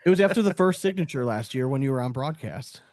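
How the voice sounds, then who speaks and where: A man with a mellow, mid-pitched voice hosts a casual interview. He talks in a chatty, informal way with slangy filler phrases. The recording is close and dry. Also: animated, fast talker, dry recording. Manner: chatty, repeats "like" and "you know what I mean". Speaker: podcast host.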